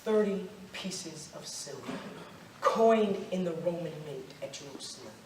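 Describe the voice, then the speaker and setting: Young male actor as Judas-like accusing spirit: high voice, solemn and deliberate, amateur stage drama, picked up from across a roomy space. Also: animated. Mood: ominous, mournful. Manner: solemn, deliberate, declamatory storytelling. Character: Judas, ghostly accusing stranger, eighteen centuries old, grave and relentless. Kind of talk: amateur stage play acting. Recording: roomy, noisy stage recording from far off.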